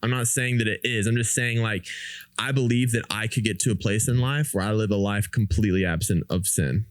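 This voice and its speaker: A man's voice: on the low side, a little fast, dry recording, clean studio sound. A man speaking as a podcast guest in an earnest, informal, emphatic way, in a conversational discussion of faith.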